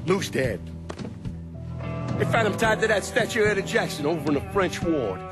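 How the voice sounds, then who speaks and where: An actor playing a desperate, foul-mouthed American mob boss in a game cutscene, volatile and bitter. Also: male voice, high voice, sing-song, normal speed. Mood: furious. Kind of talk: dramatic game cutscene acting. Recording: loud music under the voice.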